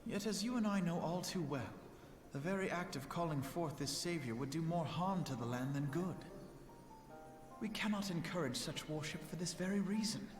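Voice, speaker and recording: An actor playing an earnest, scholarly young nobleman, measured and diplomatic, with eloquent archaic diction in a fantasy video game. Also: a little high, close to an everyday voice. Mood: grave, earnest. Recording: echoey, reverberant game audio under loud music.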